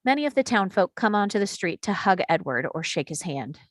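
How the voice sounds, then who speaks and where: Female narrator for screenplay stage directions, mid-range pitch, scripted read, dry recording. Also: sing-song, a little fast, dry recording.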